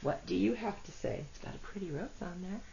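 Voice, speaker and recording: A woman with a congested, rough voice gives a chatty tarot reading, talking to her cards and her viewers. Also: mid-range voice, a little fast, mellow. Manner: chatty, self-deprecating, talks to cards and viewers. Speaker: tarot reader. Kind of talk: casual solo tarot reading. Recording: dull lo-fi audio with faint noise.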